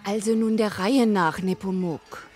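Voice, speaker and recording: An actress playing a gentle, innocent young princess in a fairy-tale radio play, plaintive and soft-hearted. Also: female voice, medium pitch, unhurried, lightly acted. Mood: puzzled. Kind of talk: German radio drama acting.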